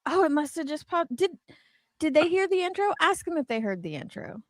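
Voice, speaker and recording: A woman's voice: high voice, sing-song, fairly quick, no echo, clean studio sound. A woman co-hosting a livestream in casual chat, blunt and joking, with some swearing. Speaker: livestream co-host.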